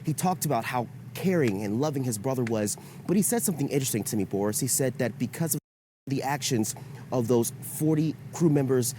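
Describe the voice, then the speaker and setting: A man's voice: medium pitch, dark tone. A man delivering a live news report from the field. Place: outdoors near a memorial site. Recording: outdoor live report, faint ambient noise.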